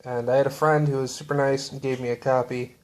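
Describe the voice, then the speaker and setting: A man showing off books from his shelf in a relaxed, rambling way with lots of fillers. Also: medium pitch, quick pace. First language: English. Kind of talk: casual show-and-tell.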